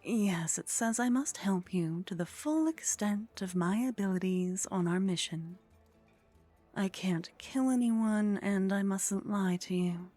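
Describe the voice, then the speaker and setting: A woman playing a haughty, flirtatious vampire in an intimate ASMR roleplay, speaking softly and close to the microphone with a teasing, condescending manner that often drops toward a whisper. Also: mid-range voice, measured pace, soft background music, natural voice. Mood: disdainful, wry. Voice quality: soft, breathy.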